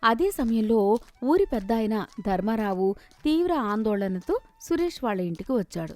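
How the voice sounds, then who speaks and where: A woman narrates a scripted moral tale. Her voice sits a little high, recorded dry with soft music behind it. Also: very animated, moderate pace, dry recording.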